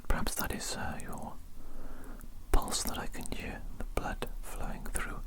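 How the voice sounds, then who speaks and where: A person whispering softly and breathily close to the microphone in an ASMR roleplay as a polite, reassuring doctor, gently repeating phrases. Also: unhurried. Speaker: ASMR performer roleplaying a doctor examining an alien.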